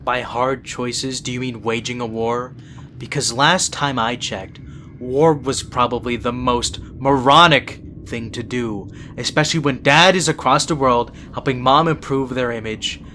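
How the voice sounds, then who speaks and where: A man's voice: medium pitch, a little slow, bright, forceful tone, a little hiss or hum. A man playing a principled, exasperated younger-brother vampire prince in an audio roleplay, speaking in an earnest, reproachful way. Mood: disbelieving, critical.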